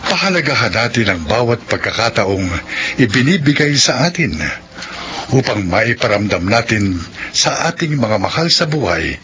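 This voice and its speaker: A man giving the measured, scripted closing narration of a radio drama. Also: medium pitch, sing-song, sharp tone, a little hiss or hum. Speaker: program narrator. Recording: dull lo-fi radio with music behind.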